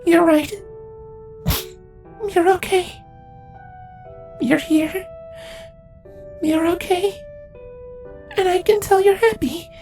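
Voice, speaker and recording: A man voicing a grieving changeling mother in a trembling, pleading falsetto. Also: fairly even intonation, very slow, vocal fry, long pauses, darkish tone, soft background music, full character voice.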